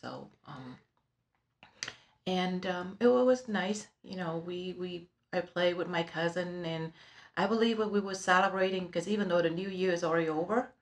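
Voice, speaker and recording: A middle-aged woman with a Vietnamese accent telling her life story in an interview, halting with many fillers and restarts, recorded in an office with background noise. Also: on the low side. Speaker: interviewee, realtor. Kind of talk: personal life story in conversation.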